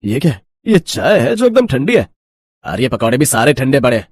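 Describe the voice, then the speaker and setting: Casting: male actor as impatient village family man in an animated tale, lively swings in pitch, grumbling, dry sound. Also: fairly quick, no echo. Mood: annoyed. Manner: demanding, complaining.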